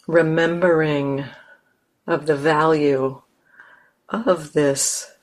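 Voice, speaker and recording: A woman teacher leading a guided meditation in a gentle, unhurried manner, leaving long pauses between phrases. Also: deep voice.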